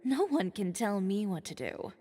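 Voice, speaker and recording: A woman's voice: medium pitch, big pitch swings, normal speed, mellow, husky. A woman voicing a headstrong, self-reliant young woman in a video game, speaking firmly and defiantly. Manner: firm, defiant. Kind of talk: game voice acting.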